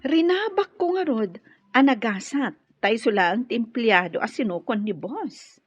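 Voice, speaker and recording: Slow, teasing office gossip. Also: female voice, on the high side, animated, breathy edge, dry recording. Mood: gossipy. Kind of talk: Ilocano radio drama acting. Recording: dull lo-fi audio.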